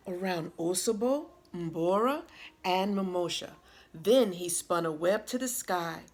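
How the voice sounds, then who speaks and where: Slow, very expressive woman storyteller. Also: lowish voice, a little hiss or hum. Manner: dramatic, deliberate phrasing. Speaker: teacher reading aloud. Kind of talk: expressive children's storybook read-aloud.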